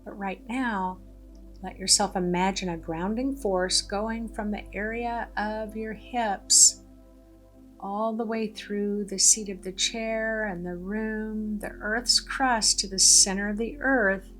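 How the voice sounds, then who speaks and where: A woman leading a guided meditation in a gentle, deliberate way, pausing between short phrases. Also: mid-range voice, fairly even intonation. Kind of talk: guided meditation, soothing narration. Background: background music.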